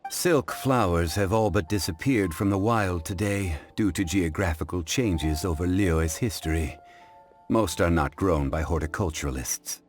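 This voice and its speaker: An actor playing a composed, erudite gentleman in an anime game dub, speaking formally and courteously, as if giving a measured lecture. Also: deep voice, faint music behind.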